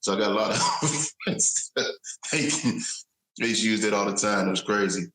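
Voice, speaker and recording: A man's voice: on the low side, normal speed, a bit bright. A man from Chicago hosting a podcast, asking questions in a loose, laughing way with restarts. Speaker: podcast host. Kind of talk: casual chat. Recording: echoey room.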